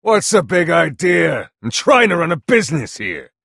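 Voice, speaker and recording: An actor playing a gruff, hard-bargaining butcher and street vendor in a video game, with a gravelly, raspy voice and a brash, folksy huckster manner. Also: male voice, fairly high pitch, sing-song, moderate pace, a bit bright, no echo, studio quality, full character voice. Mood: irritated. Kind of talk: video game character voice lines.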